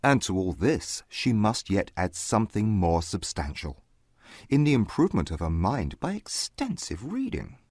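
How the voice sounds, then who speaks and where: A man playing a proud, reserved wealthy young gentleman in a dramatized audiobook, formal and grave. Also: on the low side, sing-song, moderate pace, breathy edge, no room sound, clean studio sound. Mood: grave, critical.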